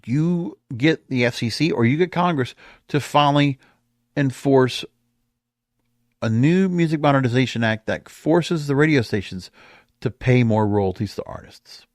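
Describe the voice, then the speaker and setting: A man's voice: mid-range voice, lively intonation, a little slow, dry recording. American man giving emphatic solo commentary, dry sound. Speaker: podcast host. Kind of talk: opinionated solo commentary on the music industry.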